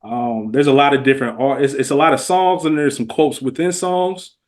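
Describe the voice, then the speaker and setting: A man's voice: mid-range voice, fairly even intonation, brisk. A man speaking as a podcast guest, casual and heartfelt, with earnest, slangy phrasing that often leans on "man.".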